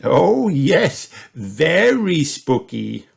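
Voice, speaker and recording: A narrator playing a jolly, kindly elderly grandfather pig with a hearty, drawn-out delivery in a children's storybook. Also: mid-range voice, very animated, creaky, husky, voice pushed up, full character voice.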